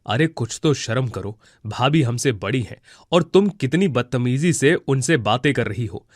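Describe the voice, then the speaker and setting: A man's voice: mid-range voice, lively intonation, measured pace, dry recording, clean studio sound. An actor playing a stern, indignant elderly husband and father in a cartoon moral story, scolding and reproachful.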